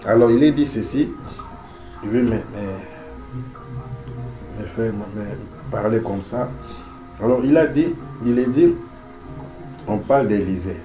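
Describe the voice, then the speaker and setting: A man's voice: medium pitch, unhurried, long pauses, warm tone, faint music behind, rough recording. Man preaching with a head cold. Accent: Central African French. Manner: emphatic, repeats key phrases, frequent amen and alleluia. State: has a cold. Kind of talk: sermon.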